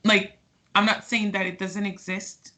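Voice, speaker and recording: A woman's voice: medium pitch, level tone, a little slow, sharp tone. A woman in her twenties from Portugal, speaking casually as an interview guest, with many fillers and restarts. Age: 20s.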